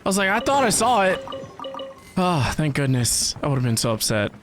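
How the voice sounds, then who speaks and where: Animated woman reacting to a game. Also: low-pitched, normal speed. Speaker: video game streamer. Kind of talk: casual gaming reaction commentary. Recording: game music behind the voice.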